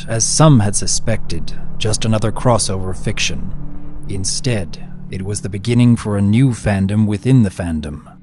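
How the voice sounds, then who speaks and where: A man narrating a dramatic scripted prologue in a solemn, portentous manner. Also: on the low side.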